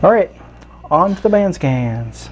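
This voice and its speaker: A man hosting a casual, unscripted hobby review, explaining features in a halting, easygoing way. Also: sits high, expressive, a little hiss or hum. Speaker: radio hobbyist host reviewing gear. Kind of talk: casual unscripted product walkthrough.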